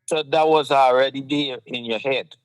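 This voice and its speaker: A man's voice: medium pitch, fairly even intonation, normal speed, clean tone, rough recording. A man with a Guyanese accent hosting a casual political talk show, passionate and firing off rhetorical questions.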